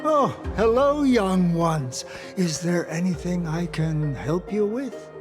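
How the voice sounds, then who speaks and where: An actor playing a gentle, forgetful old father in a game, with a halting, wistful delivery. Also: sits high, lively intonation. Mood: kindly, wistful. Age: older. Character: gentle elderly father, forgetful and doting, grieving. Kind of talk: dramatic game voice acting. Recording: music behind the voice. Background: clear background music.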